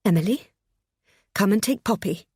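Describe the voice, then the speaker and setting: A woman's voice: mid-range voice, normal speed, a little mellow, husky, no room sound, studio quality, pitched above the performer's own voice. A woman voicing a desperate older mother who sobs and pleads as her voice breaks. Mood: tense. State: sobbing.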